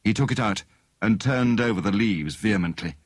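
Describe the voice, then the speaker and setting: Low-voiced male audiobook narrator. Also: lively intonation, a little fast, no room sound, clean studio sound. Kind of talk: scripted story narration.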